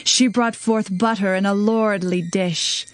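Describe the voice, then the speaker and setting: A medium-pitched female voice of an actress as a triumphant poetess, very slow and deliberate. She declaims ancient verse as audio scripture drama. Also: steady tone, close to an everyday voice. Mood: solemn triumph. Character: triumphant female singer-poet reciting a victory ode.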